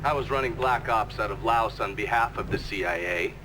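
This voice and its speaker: An actor playing an American CIA operative, giving clipped, matter-of-fact testimony in a game cutscene. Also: male voice, on the low side, a little fast, background noise, natural voice. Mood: firm, matter-of-fact. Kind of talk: formal hearing testimony, acted. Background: prominent music.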